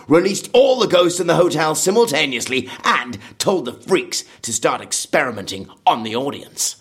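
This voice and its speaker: An actor in an audio drama playing a vengeful, obsessive horror theme park designer, bitter and grandiose, with a husky voice. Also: male voice, mid-range voice, a bit bright. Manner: brooding, bitter, grandiose, prone to outbursts.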